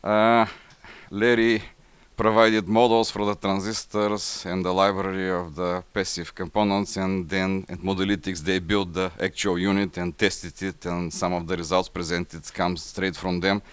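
Deep-voiced Bulgarian man presenting. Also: little pitch movement, normal speed, clear, resonant tone, no room sound. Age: middle-aged. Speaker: conference presenter, engineer. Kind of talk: technical slide presentation. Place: indoor forum room, seated at a table before a banner.

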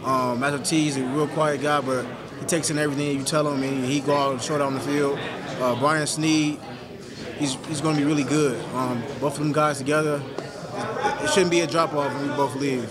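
A young college football player answering reporters after a game, soft-spoken and halting, with many fillers and repeated words. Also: male voice, on the high side, steady tone. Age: young adult. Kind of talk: post-game press interview. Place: indoor media room with reporters around. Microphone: reporters' handheld recorders held close. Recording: noisy press scrum with heavy crowd.

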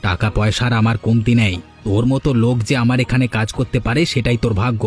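A man acts out a money-proud village hotel owner from a cartoon. He is boastful, domineering and quick to taunt, in a mid-pitched male voice over clear background music. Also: steady tone, a little mellow, lightly acted. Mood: bossy scolding. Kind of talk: Bengali village cartoon dialogue.

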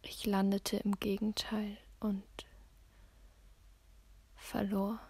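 Female poetry reader: hushed, near-whisper, even and restrained in pitch, very dry close sound, measured phrasing with long pauses. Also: slightly rough, dry recording. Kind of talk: spoken-word poetry reading, slow and sparse.

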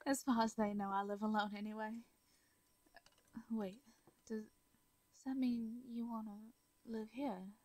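A woman with a Southern accent voicing a shy, caring hermit farmer woman in a comforting audio roleplay. She speaks softly, stammers and laughs lightly. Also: fairly high pitch, flat delivery, measured pace, pauses often, no room sound. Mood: warm.

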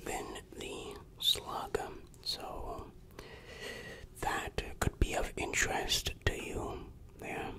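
Breathy whisper, slow pace, confiding, deliberate, ASMR roleplay delivery, close-miked. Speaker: ASMR creator playing a shady dealer.

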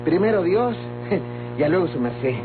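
An actor playing a humble, pious peasant labourer in an old Mexican radio drama, servile and folksy with a Mexican accent. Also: male voice, sits high, lively intonation, a little slow. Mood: fawning, pious. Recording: tinny old radio recording, music behind.

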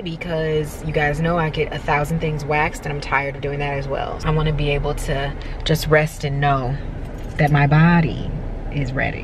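A woman in her late twenties talks to a phone held at arm's length in a parked car, her candid chatter swinging up and down. Her voice sits a bit low. Vehicle hum and blowing air run clearly behind her. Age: 20s. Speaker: vlogger. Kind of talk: casual vlog chat to camera. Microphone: phone camera at arm's length.

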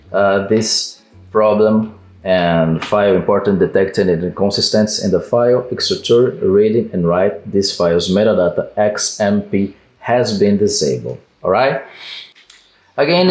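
A man in his thirties from Brazil, speaking English with a Brazilian Portuguese accent, walking through a software fix with halting phrasing and many fillers. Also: lowish voice, reverberant, low-quality recording. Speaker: tech tutorial host. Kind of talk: screen-recorded software tutorial. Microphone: headset.

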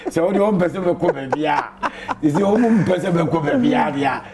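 A Ghanaian man in his thirties hosting a TV sports show in a studio, with an animated, exclamatory hype-man delivery. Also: on the high side, fast talker, a loud crowd. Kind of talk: lively TV sports talk mixing English and Twi.

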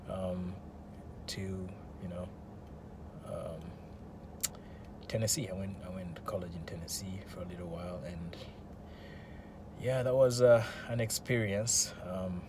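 A man in his thirties with a Ugandan accent, vlogging casually into a phone from a parked car, hesitant with many fillers and restarts. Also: low-pitched. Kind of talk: casual selfie vlog. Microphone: phone at arm's length. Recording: phone in a car with background noise.

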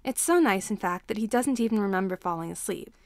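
Female story narrator, mid-pitched, reading scripted fiction in a dry, close recording. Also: expressive, a little fast, no room sound. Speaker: fan fiction reader.

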